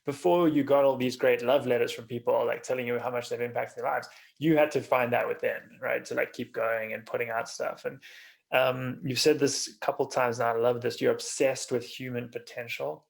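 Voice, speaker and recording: Male podcast host, medium pitch with noticeable vocal fry, informal conversational interviewing. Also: little pitch movement, moderate pace.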